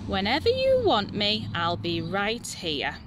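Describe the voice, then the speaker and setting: A friendly, thoughtful storybook tiger, played by a woman reader. It speaks slowly and reassuringly, with gentle swings in pitch and faint noise behind. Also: huge pitch swings.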